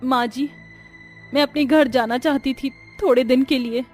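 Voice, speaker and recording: A woman's voice: sits high, fairly even intonation, normal speed, a little mellow, faint music behind, close to an everyday voice. Woman voicing a meek, remorseful young cartoon bride. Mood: meek, regretful. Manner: pleading, emotional, apologetic. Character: remorseful, meek young bride, once arrogant, now tearful.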